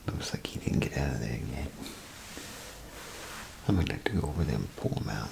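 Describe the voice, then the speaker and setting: A man doing soft-spoken, partly whispered ASMR role play as an easygoing bathroom attendant, murmuring unhurried, hesitant small talk over background noise. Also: a bass voice, expressive, vocal fry.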